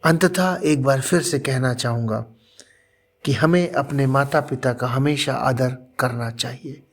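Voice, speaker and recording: A man narrating a scripted moral story as a presenter, with deliberate, earnest, dramatic phrasing. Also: medium pitch.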